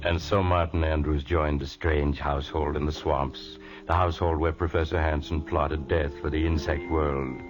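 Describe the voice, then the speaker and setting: A man narrating a radio drama with an exceptionally deep voice, solemn and ominous. The sound is dull and lo-fi, like a vintage broadcast, with music playing clearly beneath him. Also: fairly even intonation, normal speed, hardly any pauses.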